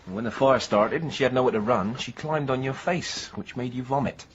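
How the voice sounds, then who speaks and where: A man's voice: mid-range voice, moderate pace, dull audio, a little hiss or hum, natural voice. An actor playing a kindly, matter-of-fact male friend in a radio drama. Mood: gently joking. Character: kindly, gently joking male visitor friend.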